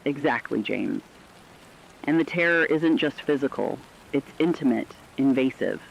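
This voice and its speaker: A man playing a serious radio news anchor, reading a scripted emergency bulletin with deliberate, emphatic phrasing. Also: mid-range voice, animated, gravelly, dull audio, a little hiss or hum. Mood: stern, foreboding. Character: stern, urgent radio news anchor reporting an apocalypse. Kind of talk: scripted emergency broadcast narration.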